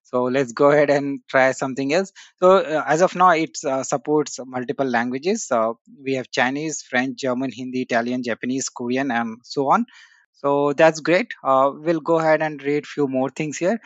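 A man's voice: mid-range voice, steady tone, normal speed, no room sound, lo-fi sound. A man hosting a casual screen-share tech tutorial, talking with many "uh" and "you know" fillers. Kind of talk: screen-share software walkthrough.

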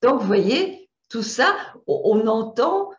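A Belgian woman with a Belgian French accent, middle-aged, teaching an image coaching class over slides. Her voice sits a little high and moves a lot, and she talks slowly, trailing off and repeating words as she thinks. The sound is dull and lo-fi, with a roomy echo around her. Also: very animated. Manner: hesitant, repeats words while searching. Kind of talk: slide presentation lesson.

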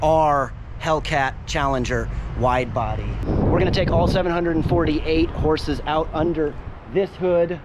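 An energetic man in his thirties hypes up a car giveaway in a punchy, excited way, filmed on a handheld action camera. Loud engine rumble and traffic noise sit heavily behind his voice. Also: measured pace. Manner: enthusiastic, punchy hype delivery. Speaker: sweepstakes host. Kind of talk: upbeat promo vlog. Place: outdoors in an alley, then inside a car.

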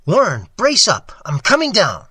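A narrator acts out a loyal, anxious man in a horror story, calling into the dark for his friend. The voice is raised and urgent, with a forceful, husky edge. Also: mid-range voice, huge pitch swings, moderate pace, no echo, pitched above the performer's own voice, exaggerated voice. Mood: resolute shouting. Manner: shouted urgent calls.

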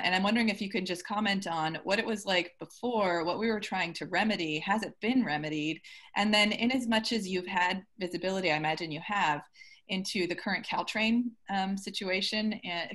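A woman's voice: lowish voice, a bit bright. Woman moderator on a video call. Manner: long, winding questions with fillers. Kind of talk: interview questions. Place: home room with windows, indoors. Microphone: video call webcam.

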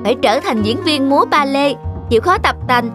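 Woman voicing a high-pitched, protective little schoolboy. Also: lively intonation, brisk. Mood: encouraging. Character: brave, protective schoolboy, loyal to his friend. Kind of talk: animated cartoon dub. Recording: loud children's music behind.

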